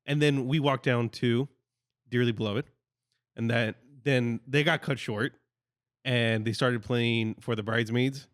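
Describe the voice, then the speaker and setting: A young man with a middle-pitched voice, talking earnestly and casually as a podcast co-host. He often drops in short agreeing words. The recording is dry and close. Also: fairly even intonation, unhurried, no room sound. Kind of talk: casual chat.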